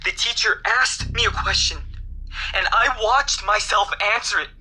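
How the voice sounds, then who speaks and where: A woman's voice: medium pitch, moderate pace, sharp tone, slight background noise, low-quality recording. A woman acting the part of a haunted, despairing young student calling into a show, plaintive and dramatic, in a scripted thriller. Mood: shaken. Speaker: caller.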